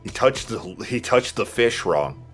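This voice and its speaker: A man's voice: mid-range voice, lively intonation, unhurried, fairly bright tone. A man giving casual, joking gameplay commentary in an informal way. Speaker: let's play co-host, player. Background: video game music.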